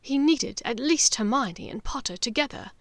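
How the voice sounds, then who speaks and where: A woman narrating a fiction audiobook with dramatic, deliberate storytelling. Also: fairly high pitch, lively intonation, dry recording.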